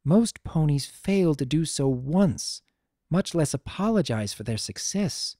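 A narrator voicing a wise, weary female pony princess, the elder sister, speaking in a measured, solemn and gentle way for a story reading. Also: mid-range voice, big pitch swings, dry recording, clean studio sound, voice pushed up. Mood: fond, self-reproachful.

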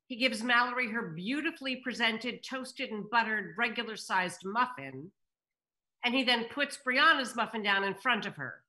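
A woman's voice: fairly high pitch, animated, moderate pace, pushed and bright, poor audio. An older woman reading scripted stage directions as host of a table read, at home on a video call. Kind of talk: scripted narration. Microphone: computer mic on a video call.

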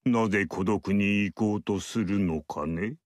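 An actor playing an ageless male mystic sage in a video game, speaking in a measured, archaic and lofty way. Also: fairly low pitch, no echo, lightly acted. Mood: aloof. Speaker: game character. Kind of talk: game dialogue acting.

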